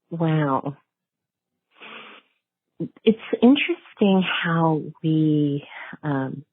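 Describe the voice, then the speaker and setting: A woman's voice: deep voice, slightly rough, no echo, phone-quality sound. A woman being interviewed as an author, talking conversationally in a reflective, emphatic and affirming way.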